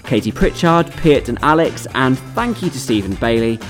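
A man's voice: mid-range voice, lively intonation, normal speed, soft background music, poor audio. A man hosting a radio show, chatting in a playful, upbeat way. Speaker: radio host. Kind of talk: casual chat. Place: festival radio base.

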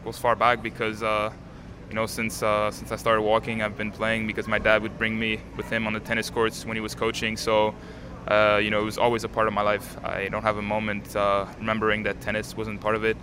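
An eighteen-year-old man from Canada, a native French speaker, answering interview questions outdoors in a calm, composed way with many fillers. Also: on the low side, a little fast, hardly any pauses. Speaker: tennis player, interviewee. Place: outdoors at a tennis stadium. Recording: crowd noise behind.

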